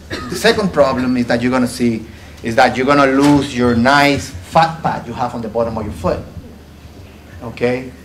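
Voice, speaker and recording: Puerto Rican man giving a halting lecture. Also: medium pitch, slight background noise, low-quality recording. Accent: Puerto Rican Spanish with some Texas. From Puerto Rico. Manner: halting, repeats words, frequent fillers. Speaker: podiatrist lecturer. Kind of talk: medical lecture to students. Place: classroom with projector screen. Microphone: lapel.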